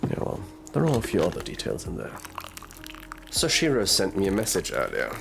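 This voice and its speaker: A man playing a gruff, sardonic detective in an audio roleplay drama, drawling and murmuring through his pauses. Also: sits low, huge pitch swings, heavily acted. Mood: musing. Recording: music behind the voice.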